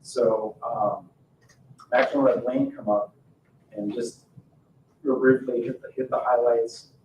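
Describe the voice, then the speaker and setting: A man giving an informal presentation as a consultant at a public board meeting, with halting, hesitant phrasing. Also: mid-range voice, fairly even intonation, darkish tone, lo-fi sound. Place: indoor town board meeting room. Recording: echoey room, distant mic, faint noise.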